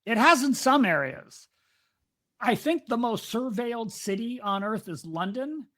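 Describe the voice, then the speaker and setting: A woman's voice: a little high, lively intonation, measured pace, fairly bright tone. Talkative woman podcast co-host. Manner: talkative, explains ideas at length, digresses. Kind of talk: casual podcast discussion.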